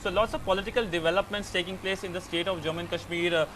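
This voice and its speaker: A man's voice: high voice, steady tone, very fast, a bit bright, slight background noise. A man with an Indian English accent anchoring the news and questioning a reporter live.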